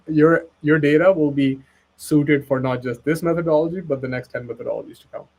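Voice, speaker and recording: A man's voice: fairly high pitch, fairly even intonation, fairly quick, a little mellow. A man from Pakistan speaking as an expert guest in a casual webinar chat, earnest and persuasive, often tagging "right?" onto his points.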